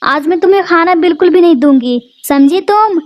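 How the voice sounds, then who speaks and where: A vicious cartoon stepmother bird snaps threats in a very high, shrill voice, played by a woman. Her manner is harsh and spiteful throughout. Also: fairly quick.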